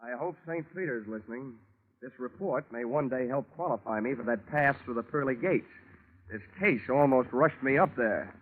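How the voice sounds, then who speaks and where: An American man playing a cynical, wisecracking insurance investigator in 1940s radio drama style, with wry deadpan punchlines. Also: mid-range voice, normal speed, lightly acted. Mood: wry narrating. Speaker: radio drama lead and narrator. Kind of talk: 1940s radio detective acting. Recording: muffled, band-limited old radio recording with music.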